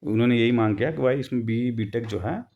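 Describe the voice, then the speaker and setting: A man's voice: medium pitch, fast, phone-quality sound. A man giving an informal explanatory commentary, rephrasing and repeating himself as he goes. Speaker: YouTube host explaining a court case update.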